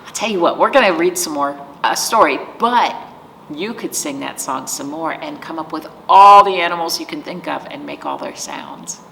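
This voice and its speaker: A woman hosting an interactive storytime, talking directly and coaxingly to young children in an animated way. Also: sits low, normal speed, gravelly, distant mic. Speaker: library storytime host. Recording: echoey reverberant room, faint background noise.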